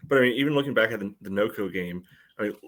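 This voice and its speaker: A man's voice: fairly low pitch, racing, a bit bright. A man casually analysing a match on a podcast, peppering his talk with "you know". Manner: frequent "you know" filler. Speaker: soccer podcast host.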